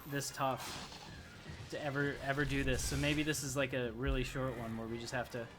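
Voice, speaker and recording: A man's voice: medium pitch, level tone, moderate pace. A man giving casual live gameplay commentary as a streamer, narrating his own moves in a self-deprecating way. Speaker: game streamer. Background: game music.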